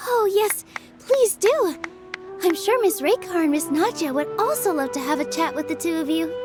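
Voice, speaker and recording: High-voiced, gentle young dancer. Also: female voice, animated, normal speed. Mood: delighted. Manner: polite, considerate. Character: gentle, earnest, kind young female dancer. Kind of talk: video game character acting. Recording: music behind the voice.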